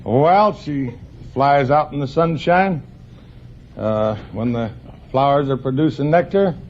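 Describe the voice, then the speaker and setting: A man's voice: mid-range voice, slow. An American man from Utah giving brief, plain answers to a host as a quiz contestant. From Utah, United States. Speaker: quiz show contestant, beekeeper. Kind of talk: answering a host's questions. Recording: dull, faintly noisy vintage radio recording.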